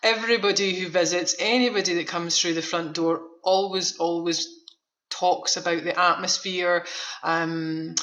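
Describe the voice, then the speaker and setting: A woman's voice: lowish voice, fairly even intonation, a little slow, fairly bright tone, roomy sound. A woman speaking as a guest in a radio interview, enthusiastic and emphatic, often repeating words for emphasis. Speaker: veterans' activity centre manager, interview guest.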